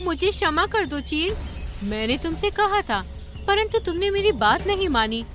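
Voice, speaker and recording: A woman's voice: extremely high pitch, sing-song, moderate pace, fairly bright tone, heavily acted. A woman voicing a small, earnest female creature in a cartoon fable, speaking emphatically. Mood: remorseful.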